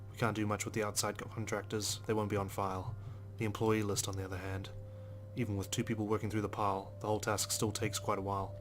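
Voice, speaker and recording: Fast-talking man with a low, even voice. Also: slight background noise. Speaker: let's player. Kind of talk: reading a visual novel aloud with casual commentary.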